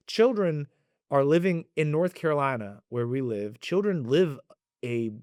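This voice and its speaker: A man's voice: fairly high pitch, lively intonation, measured pace, a little mellow, no echo. Guy in his 40s, thoughtful earnest chat, dry close mic. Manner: thoughtful, earnest, emphatic at times. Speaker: interviewee. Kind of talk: reflective conversation.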